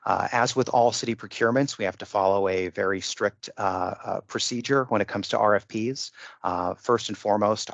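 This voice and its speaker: A man's voice: fairly low pitch, creaky, no echo. A man methodically giving a formal procedural briefing as an official on a conference call, stressing the rules. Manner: methodical, emphatic about rules. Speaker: procurement official leading a bid conference call. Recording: muffled, dull conference-call audio.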